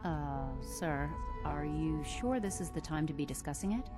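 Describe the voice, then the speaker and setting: A woman's voice: deep voice, lively intonation, moderate pace. An actress playing a cautious, skeptical female scientist with a tactful manner in game dialogue. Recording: music playing behind the voice.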